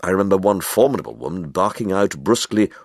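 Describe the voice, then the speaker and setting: Deep, expressive male storyteller. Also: dry recording, studio quality. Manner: wry, measured, expressive storytelling. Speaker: reader of a short story. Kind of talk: literary audiobook narration with character voices.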